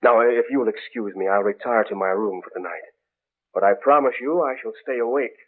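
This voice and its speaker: An actor playing a grave, skeptical property owner in an old radio horror drama, speaking formally and deliberately. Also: male voice, lowish voice, lightly acted. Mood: puzzled, grave. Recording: muffled, phone-like old radio recording.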